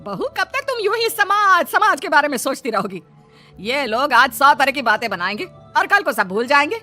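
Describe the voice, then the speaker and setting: A woman's voice: high-pitched, very animated, normal speed, sharp tone, soft background music, heavily acted. A woman voicing an elderly mother-in-law in a cartoon story, earnest, pleading and emphatic. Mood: defiant. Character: defiant elderly mother-in-law and hopeful bride.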